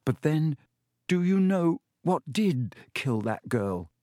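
A man's voice: a little high, big pitch swings, takes it slowly, mellow, dry recording, studio quality, voice pushed up. A man voicing a young boy hero in a storybook, anxious but brave, with an urgent, pressing manner.

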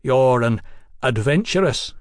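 A man's voice: medium pitch, animated, a little slow, no room sound, clean studio sound, lightly acted. A man voicing a cocky, bossy young Victorian street rogue in an audiobook, with brash working-class slang.

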